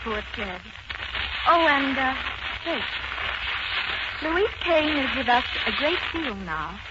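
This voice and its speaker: An actress playing a young, well-to-do, gently meddling sister in a 1940s radio drama. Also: female voice, high voice, very animated, slow pace, heavily acted. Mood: chatty. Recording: noisy 1940s radio broadcast, muffled.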